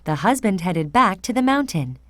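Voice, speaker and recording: A woman narrating a fairy tale for children in an expressive, deliberate read-aloud manner. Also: mid-range voice, no echo, clean studio sound. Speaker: storyteller.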